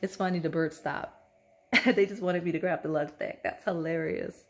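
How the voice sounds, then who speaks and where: A woman talks through a pick-a-card tarot reading in a loose, chatty way and keeps checking in with "okay?" Her voice sits a little low. It is hoarse and has a slight rasp, as if she is losing it. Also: steady tone, normal speed, faint music behind. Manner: chatty, repeats "okay?" often. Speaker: tarot reader.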